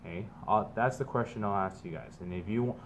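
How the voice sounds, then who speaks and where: Man reading aloud over clear background noise. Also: fairly low pitch, a little fast. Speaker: teacher reading aloud to students. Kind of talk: children's picture-book read-aloud with casual asides.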